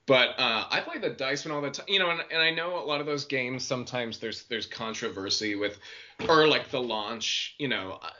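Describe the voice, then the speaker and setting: A man in his twenties with a deep voice, a voice actor guest chatting casually and laughing easily. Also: normal speed. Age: 20s. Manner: relaxed, self-deprecating, laughs easily. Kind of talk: casual podcast interview. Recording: dull lo-fi podcast audio.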